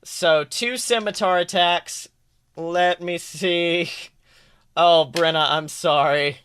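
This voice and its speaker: A man's voice: on the high side, fairly even intonation, slow pace, fairly bright tone, clean tone. A man casually running a tabletop game as game master, teasing and joking as he narrates. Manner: teasing, jokey. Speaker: game master, podcast host.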